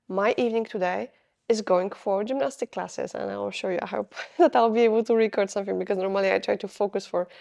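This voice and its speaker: A woman's voice: medium pitch, vocal fry, darkish tone. A young woman with a Polish accent, talking unscripted to camera in a rambling way, stopping often to search for words. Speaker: vlogger. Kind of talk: unscripted casual vlog talk.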